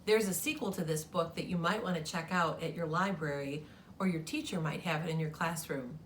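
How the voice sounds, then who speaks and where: A woman's voice: low voice, brisk, recorded from a distance, a little hiss or hum. A woman who works at a school, reading a children's picture book aloud. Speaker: story reader, school staff member.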